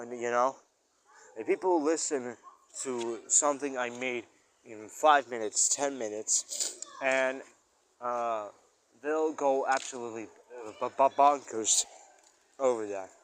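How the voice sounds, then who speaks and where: A man talking casually in a rambling personal audio log as a musician, often searching for words and stressing exclamations. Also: medium pitch. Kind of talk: casual rambling spoken-thoughts monologue.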